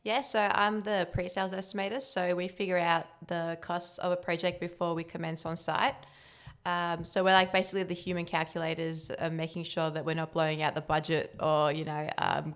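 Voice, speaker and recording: Young woman, turkish first language, low-ish smooth voice, chatty relaxed podcast guest. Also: fairly quick. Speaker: guest, building cost estimator. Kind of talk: casual podcast interview.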